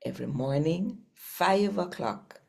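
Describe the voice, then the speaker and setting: A woman with a Jamaican accent speaking deliberately as a host and scripture reader. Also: low voice, lively intonation, gravelly, a little mellow. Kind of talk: channel talk and expressive Bible reading.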